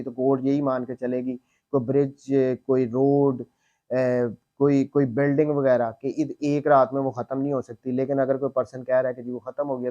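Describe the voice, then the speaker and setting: A man's voice: medium pitch, dark tone, dry recording, lo-fi sound. A man with a Pakistani accent lecturing on law, reading out formal text and explaining it step by step with repeated, rephrased points.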